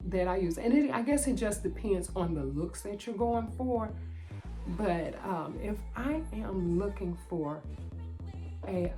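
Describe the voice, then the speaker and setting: A woman's voice: fairly low pitch, darkish tone. A woman hosting a beauty vlog, talking in a chatty way with a nasal, stuffy voice from a cold, and repeating phrases for emphasis. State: nasal from a cold, sore throat. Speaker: beauty vlogger. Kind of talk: casual hair vlog chat.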